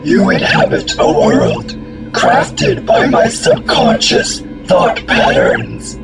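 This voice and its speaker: A man's voice: rumbling low, huge pitch swings, takes it slowly, loud music behind the voice, full character voice. A man voicing an ancient, contemptuous alien monster in a radio drama, cold and imperious. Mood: cold, menacing.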